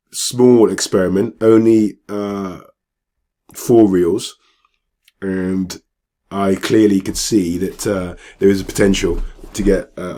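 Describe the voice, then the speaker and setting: A man whose voice is hoarse and croaky from a cold, sitting very low in pitch. He talks casually through what is on his screen, hesitating often with fillers and restarting his sentences. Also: darkish tone. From England. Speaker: business vlogger. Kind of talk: screen-share walkthrough talk.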